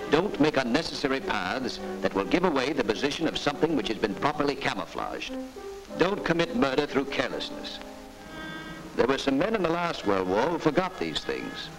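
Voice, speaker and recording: A man's voice: medium pitch, sing-song, normal speed, over music, rough recording. A man narrating a 1940s military training film in a stern, emphatic, instructive way. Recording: hissy 1940s film soundtrack.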